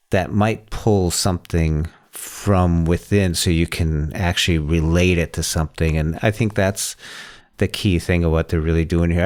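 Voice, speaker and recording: A man hosting a casual tech discussion, talking in a halting way and often rephrasing as he explains. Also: deep voice. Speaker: podcast host.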